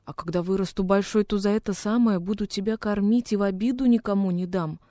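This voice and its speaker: A woman's voice: medium pitch, steady tone, brisk, warm tone, dry recording, clean studio sound, close to an everyday voice. A woman playing a homesick young peasant orphan boy in a radio drama, plaintive and naive, with rustic folk speech. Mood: desperate pleading. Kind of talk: dramatic radio reading of a classic story.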